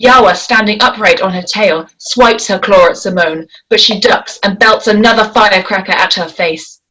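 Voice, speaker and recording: Woman narrating a screenplay, medium pitch. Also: moderate pace, sharp tone, poor audio. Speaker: narrator reading stage directions. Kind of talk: scripted narration.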